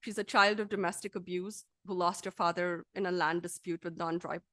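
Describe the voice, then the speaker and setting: A woman lecturer reading a scripted academic talk aloud in a formal, measured, articulate manner. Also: lowish voice, fairly bright tone, no room sound.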